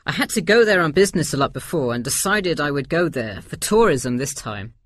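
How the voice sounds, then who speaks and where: A man's voice: fairly high pitch, big pitch swings, fairly quick, a bit bright, slight background noise. A man playing an easygoing middle-aged tourist who gives agreeable, explanatory answers in a scripted listening-test dialogue. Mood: relaxed, candid. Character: easygoing forty-one-year-old male tourist answering survey. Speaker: survey respondent.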